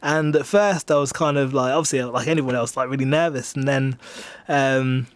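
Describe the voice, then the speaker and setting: A man in his twenties with an English accent talks in a casual, self-deprecating way, like a musician being interviewed. His pitch is average and he often hesitates and rambles. The sound is dry, with little room in it. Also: steady tone, normal speed, no echo. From England. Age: 20s. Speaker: singer-songwriter being interviewed. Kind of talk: casual radio interview chat. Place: radio studio.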